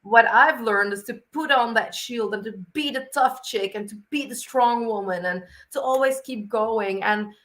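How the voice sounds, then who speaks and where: A woman's voice: a little high, moderate pace, few pauses, a bit bright. Earnest woman guest. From Belgium. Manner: earnest and candid. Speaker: podcast guest. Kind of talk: casual interview talk.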